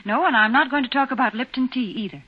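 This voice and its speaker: A woman's voice: sits high, fast, husky. An American woman announcing a sponsor's message on 1940s radio, speaking to listeners in a folksy, earnest way. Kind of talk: 1940s radio advertising pitch. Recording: muffled 1940s radio broadcast.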